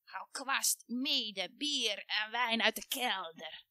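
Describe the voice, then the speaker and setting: A woman voicing a cruel, ravenous ancient witch who drawls out slow hissing threats. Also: fairly high pitch, sharp tone, dry recording, heavily acted. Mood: menacing.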